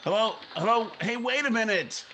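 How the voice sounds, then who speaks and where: An actor with an American accent playing a driven newspaper editor who narrates a radio crime drama in hardboiled style. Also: male voice, high voice, animated, moderate pace, very noisy. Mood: urgent. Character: driven, hard-bargaining newspaper editor narrating his story.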